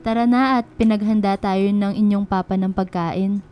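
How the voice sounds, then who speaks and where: A woman's voice: medium pitch, fairly even intonation, moderate pace, dark tone, clean tone, lightly acted. A woman playing a protective, loving, humble mother in a radio drama. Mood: tender, reassuring. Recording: music bed behind the voice.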